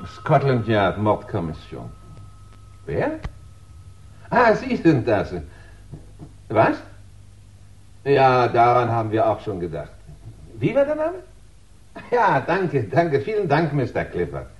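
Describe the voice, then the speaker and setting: A man's voice: medium pitch, wildly swooping pitch, slow, pauses often, breathy edge, full character voice. An actor playing a dutiful, matter-of-fact male police detective in a 1960s German radio crime play. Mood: brisk. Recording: dull 1960s radio recording.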